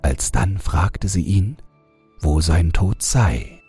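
A man narrating a bedtime fairy tale as an audiobook reader, in a husky, breathy low voice with measured, gentle storytelling. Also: lively intonation, faint music behind.

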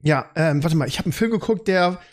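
A man hosting a podcast in casual chat, rambling along and often halting to search for words and correct himself. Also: a little high, lively intonation. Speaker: podcast host.

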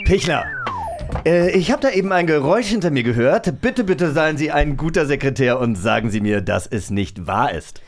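A man's voice: a little high, animated, moderate pace, soft background music. A man playing a fearful, pompous town mayor in a children's radio play, pleading and babbling theatrically. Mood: nervous pleading.

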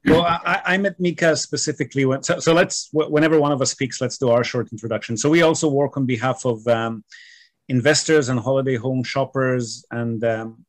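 A man hosting a casual video call interview, chatty and somewhat halting, often repeating the starts of his words. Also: medium pitch, fairly even intonation, no room sound. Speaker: host of a real estate show.

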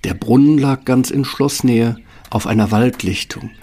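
A man's voice: medium pitch, unhurried, dark tone, slight background noise. A man reading scripted fairy-tale narration as the storyteller of an audio play.

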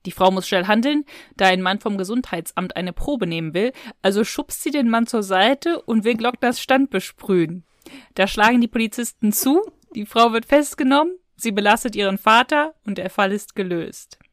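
A woman's voice: medium pitch, lively intonation, normal speed, dry recording. Woman, dry close-up podcast voice. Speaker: podcast co-host. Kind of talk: casual podcast discussion.

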